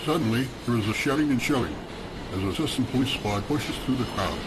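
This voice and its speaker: A man narrating a radio drama in a steady, measured tone. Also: mid-range voice, very noisy. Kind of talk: scripted narration.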